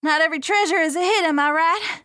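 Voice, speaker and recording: A woman's voice: extremely high pitch, fast talker, sharp tone, no echo. A woman playing a brash, plucky young treasure hunter in an audio drama, blunt and sassy.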